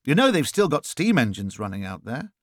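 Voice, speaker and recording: A man's voice: medium pitch, huge pitch swings, a little fast, no echo, clean studio sound. A man playing a reluctant, sceptical lawyer in an audiobook, polite and hesitant. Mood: puzzled, sceptical. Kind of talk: audiobook character dialogue.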